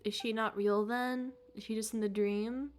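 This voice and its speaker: A woman's voice: a little high, fairly even intonation, normal speed. A woman live-streaming a game, chatting casually with playful, cutesy, reactive commentary. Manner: playful, cutesy baby-talk words, reactive.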